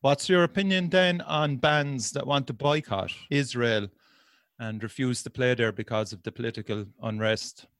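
A man's voice: mid-range voice, moderate pace, fairly bright tone, no room sound, studio quality. A man in his forties with an Irish accent, hosting a casual interview in a chatty, easygoing way. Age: 40s.

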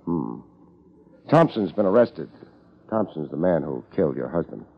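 A man acting a laid-back, dryly wry private detective in deadpan 1950s radio drama style. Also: extremely low pitch, normal speed, vocal fry, slightly rough, heavily acted. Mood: quiet, probing. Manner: deadpan, understated. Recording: muffled 1950s radio recording.

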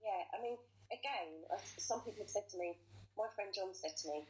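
A woman's voice: mid-range voice, brisk, darkish tone, slight background noise, low-quality recording. A woman being interviewed as an author, answering in an earnest, thoughtful way with hesitant phrasing. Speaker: author and guest.

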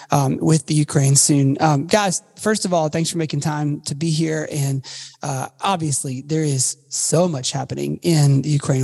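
Gravelly-voiced podcast host. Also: mid-range voice, a little mellow, in a large hall. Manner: chatty, lots of ums. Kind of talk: casual interview chat.

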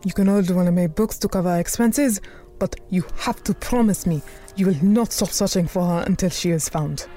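Mellow, proud woman crime boss, acted by a woman. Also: on the low side, normal speed, close to an everyday voice. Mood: guarded, resolute. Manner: firm, formal, suspicious. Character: proud, guarded woman numbers boss of old Harlem. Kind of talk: period audio drama acting. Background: music.

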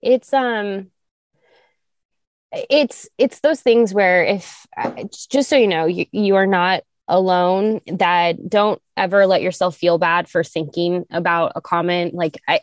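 A woman co-hosting a podcast, talking earnestly and emphatically, with some vocal fry. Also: lowish voice, dry recording, clean studio sound. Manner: earnest, emphatic, speeds up and slows down. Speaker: podcast co-host. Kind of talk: candid podcast conversation.

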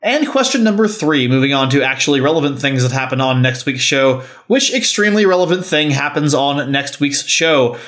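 A man's voice: mid-range voice, lively intonation, a little fast, few pauses, a bit bright. A man hosting a wrestling podcast, moving between wordy, enunciated quizmaster delivery and casual chat. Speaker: podcast host.

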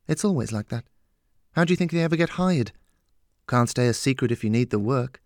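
Guy doing an older storybook gentleman, composed, polite, bit flippant, kindly. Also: mid-range voice, lively intonation, brisk, no room sound, clean studio sound, lightly acted. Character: aging gentleman mentor, wry, composed, kindly. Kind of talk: audiobook character dialogue.

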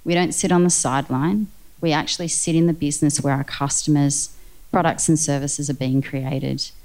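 A woman's voice: sits low, steady tone, normal speed, darkish tone. A woman speaking earnestly as a panel guest, leaning on the word actually. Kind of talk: panel discussion.

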